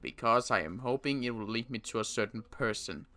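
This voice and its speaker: A man playing a wary adult survivor in an improvised game roleplay, talking in a wry, halting way with frequent restarts. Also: mid-range voice, bright, forceful tone. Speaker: roleplay player.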